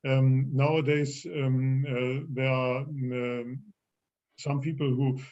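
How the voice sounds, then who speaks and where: Man, German accent, language teacher, halting delivery, medium pitch, dull lo-fi sound. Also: level tone, a little mellow. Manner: halting, searching for words. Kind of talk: explaining in an online language class.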